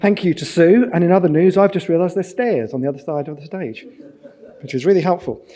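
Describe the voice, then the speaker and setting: A man's voice: on the high side, brisk, warm tone. A man chairing a conference session, introducing the next speaker with light, jokey asides.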